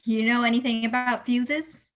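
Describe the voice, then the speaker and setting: A woman's voice: fairly high pitch, steady tone, moderate pace, natural voice. A woman playing a blunt, opinionated small-town veterinarian in a comedic radio play. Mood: inviting.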